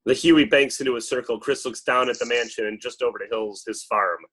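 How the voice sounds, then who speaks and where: A man's voice: medium pitch, a little fast, a bit bright, husky, poor audio. A man reading stage directions as dramatic scripted narration at a table read.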